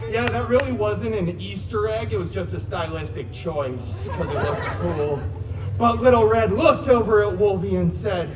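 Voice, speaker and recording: An actor playing an irreverent, wisecracking masked antihero who tells a children's story live with theatrical delivery and sarcastic asides. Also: male voice, high-pitched, normal speed, heavy background noise, close to an everyday voice. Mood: wry, theatrical. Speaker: costumed theme park character storyteller. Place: outdoor theme park courtyard. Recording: distant outdoor sound, echo, loud music, crowd.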